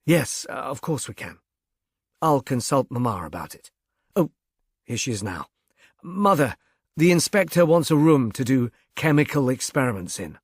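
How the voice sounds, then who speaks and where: A man's voice: medium pitch, big pitch swings, moderate pace, husky, dry recording, clean studio sound. A man voicing a civilized grown son in a storybook, casual and wry, with a light, self-deprecating manner. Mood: obliging.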